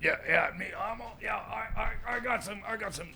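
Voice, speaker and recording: A man plays an eccentric, jittery radio comedy sidekick with a high, sharp, gravelly voice. He rambles and stammers, over some background noise. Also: a little fast, full character voice. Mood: rambling, jittery. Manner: stammering, agitated, repetitive. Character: jittery, rambling eccentric male time-machine tinkerer sidekick. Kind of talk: improvised comedy radio skit.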